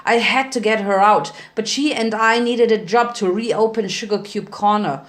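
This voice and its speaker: A woman's voice: mid-range voice, normal speed, fairly bright tone, close to an everyday voice. Woman as a guilt-ridden, weary stallion father. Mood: somber recounting.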